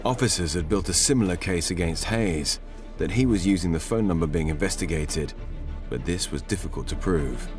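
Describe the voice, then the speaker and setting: A male documentary narrator with a deep voice. He delivers scripted true-crime narration, with music playing underneath. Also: normal speed, few pauses.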